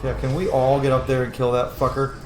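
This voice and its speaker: A man's voice: mid-range voice, completely flat delivery, fast talker, over music. A man giving casual, blunt and heavily profane commentary while playing a role-playing game. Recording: roomy echo, faint background noise.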